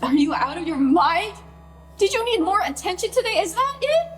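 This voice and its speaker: A woman's voice: extremely high pitch, very animated, moderate pace. An actress playing a hurt, furious bride confronting her famous sister in a naturalistic radio play, scolding and pleading with emphatic profanity.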